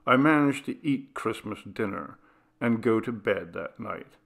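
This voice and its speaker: A man reading a ghost story aloud, in a slow, measured and grave way. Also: fairly low pitch, expressive, creaky, a bit bright, slightly rough. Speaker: reader. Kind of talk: scripted ghost story narration.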